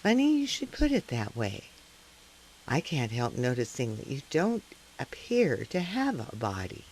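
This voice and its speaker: A man playing a probing, skeptical talk show host in comic live radio theater, with a deadpan, announcer-like delivery. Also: mid-range voice, unhurried, a little hiss or hum, poor audio.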